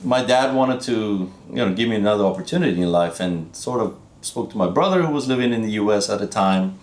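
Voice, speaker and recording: A low-pitched man in his fifties from Iran, talking as a physician guest on an interview show. He speaks reflectively and haltingly, often restarting his sentences. The recording has an echoey room sound. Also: animated. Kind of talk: casual interview conversation.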